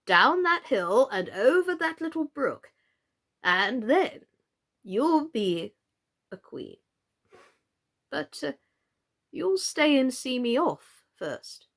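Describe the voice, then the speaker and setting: A woman acts out an eccentric old knight from a children's storybook. The delivery is halting and theatrical, gentle yet earnest. Pitch swoops widely and the pace is slow. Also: big pitch swings, heavily acted. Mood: gentle, hopeful.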